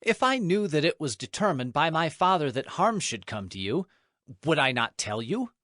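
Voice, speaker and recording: Loyal prince Jonathan, higher-pitched. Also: male voice, very animated, moderate pace, fairly bright tone, no room sound, clean studio sound. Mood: solemn, earnest. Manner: earnest, reassuring. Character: Jonathan, loyal young prince and sworn friend. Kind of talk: dramatized scripture audio acting.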